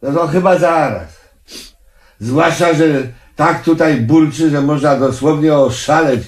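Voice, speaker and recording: A man's voice: a little high, a little slow. An actor in a comedy radio play playing an irritable, absurd-minded man, blunt, exasperated and comically literal. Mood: bemused complaining.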